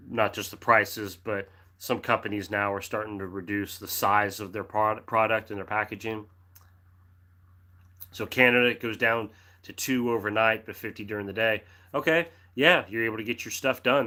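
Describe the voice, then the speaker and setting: Low, bright-toned man hosting a live chat. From United States. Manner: friendly, rambling, frequent restarts and throat clearing. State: throat going dry, sucking a cough drop. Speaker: livestream host reading chat.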